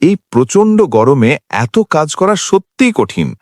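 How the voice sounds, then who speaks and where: A man's voice: fairly high pitch, big pitch swings, moderate pace, no room sound, clean studio sound. A man voicing a lazy, simple village farmer in a cartoon story, with a whiny, reluctant manner. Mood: complaining, weary. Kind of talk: dubbed cartoon dialogue.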